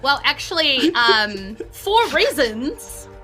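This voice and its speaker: A woman game master with a New Zealand accent narrates a tabletop game among friends. Her voice sits fairly high and is notably bright. She is playful and pauses while working out the action, with faint music underneath. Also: sing-song.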